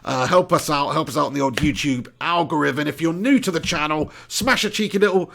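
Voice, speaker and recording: A man's voice: fairly high pitch, fairly quick, creaky. A young man hosting a live football watch-along, reading out chat messages and calling the action in a casual, laughing way. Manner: chatty, laughing, spiking loud for match action.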